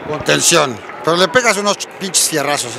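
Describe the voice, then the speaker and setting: A man's voice: fairly high pitch, animated, normal speed, gravelly, a loud crowd. A man with a Mexican accent interviewing people casually, brash, teasing and full of slang and swearing, on a handheld mic. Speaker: host interviewing players. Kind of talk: joking, casual sideline interview. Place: large indoor arena event floor. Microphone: handheld microphone. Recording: noisy indoor event venue.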